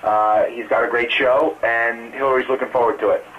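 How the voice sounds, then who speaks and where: A man speaking as a political campaign spokesman on a press conference call, answering off the cuff in a careful, halting, hedging way. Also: medium pitch, fairly even intonation. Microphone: telephone conference call. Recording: muffled narrow phone line, distant, some hiss.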